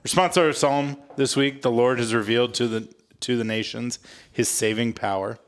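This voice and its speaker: A man's voice: mid-range voice, fairly even intonation, moderate pace, smooth. A man co-hosting a podcast in a casual, jokey, self-mocking and informal way. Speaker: podcast co-host. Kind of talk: casual scripture recap chat.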